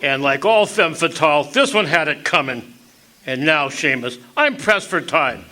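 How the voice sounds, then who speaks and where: An actor playing a smug criminal mastermind in a noir parody radio drama, mocking and drawling with menace. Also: male voice, a little high, lively intonation, a little slow, pushed and bright, slight background noise. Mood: gloating. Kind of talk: stage radio drama acting.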